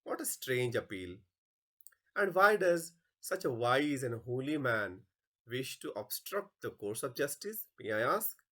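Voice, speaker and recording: A man's voice: medium pitch, very animated, unhurried, a bit bright. A man playing an imperious, impatient king in a play reading, pompous and commanding. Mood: puzzled, imperious.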